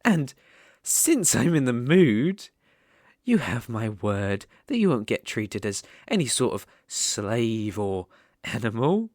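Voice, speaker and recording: A possessive, sadistic demon ruler with a British accent, played by an ASMR performer. The delivery is slow and theatrical, with haughty mockery and big swoops in pitch. The sound is close and dry, as in an intimate roleplay. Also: big pitch swings, a little mellow, dry recording. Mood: possessive, commanding. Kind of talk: ASMR roleplay, scripted monologue.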